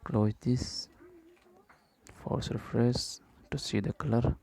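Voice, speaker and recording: A man slowly talking through app-building steps in a coding tutorial, dictating each step with short pauses and fillers. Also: medium pitch, creaky, breathy edge. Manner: slow, flat dictation of code steps with "uh" fillers. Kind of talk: screen-recorded coding walkthrough.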